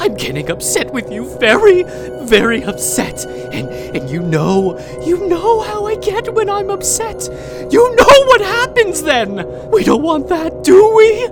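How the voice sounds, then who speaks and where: A woman playing a sweet-talking but unstable female captor in an audio drama, using syrupy baby talk that breaks into shrill screaming fits. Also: very animated, takes it slowly, over music. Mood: hysterical, furious.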